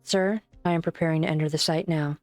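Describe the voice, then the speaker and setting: A woman voicing a calm, formal doctor in an amateur fan dub, polite but firm. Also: low-pitched, quick pace, no echo, faint music behind. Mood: steady.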